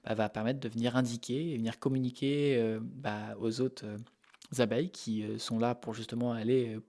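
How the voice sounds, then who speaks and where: A man's voice: mid-range voice, level tone, normal speed, mellow. A man from Normandy answering interview questions as a researcher, informally and with hesitant, repeated words as he explains. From Normandy, France. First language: French. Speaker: interview guest, animal behaviour researcher.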